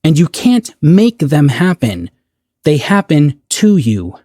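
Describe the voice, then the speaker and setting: A man from the United States giving prepared, thoughtful podcast commentary in a measured, articulate, earnest way. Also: on the high side, very animated, dark tone, dry recording, clean studio sound.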